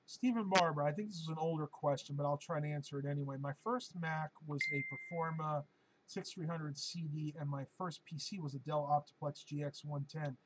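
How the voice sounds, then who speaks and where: A man with a hoarse, fading voice hosts a casual live-stream Q&A, answering viewer questions in a chatty, rambling way with plenty of ums and uhs. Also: a little high, steady tone, brisk, low-quality recording. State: hoarse, losing his voice.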